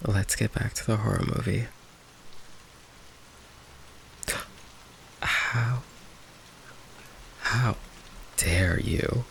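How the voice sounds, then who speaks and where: A man playing a shy, affectionate vampire boyfriend in an intimate ASMR roleplay, speaking softly and haltingly with rain behind him. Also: lowish voice, poor audio. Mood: playful, bashful. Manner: soft, hesitant, halting, breathy sighs and chuckles. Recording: faint noise, rain ambience behind. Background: rain ambience.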